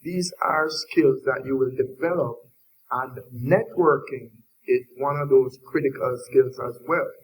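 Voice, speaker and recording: A man's voice: mid-range voice, a little slow, slight background noise, poor audio. A man from Jamaica with a Jamaican accent, speaking earnestly to students as a panelist.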